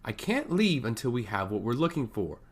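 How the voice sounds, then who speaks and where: A man voicing a petulant young male noble who shouts and blames everyone around him in a fantasy story. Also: mid-range voice, big pitch swings, moderate pace, husky. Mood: anxious. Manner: shouting, irritable.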